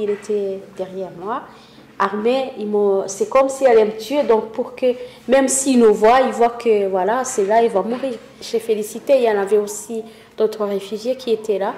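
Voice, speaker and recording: Witness: soft-toned woman from Rwanda, halting delivery, roomy echo, faint background noise. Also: medium pitch. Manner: halting, searching for words, many fillers. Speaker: interviewee, witness. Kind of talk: spoken testimony.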